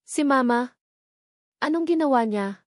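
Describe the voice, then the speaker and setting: A woman's voice: high voice, expressive, measured pace, no echo, voice pushed up. A woman narrator puts on a bewildered, resentful husband in an audio drama. He presses his questions with hurt and insistence. The recording is very dry. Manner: demanding, emotional questioning.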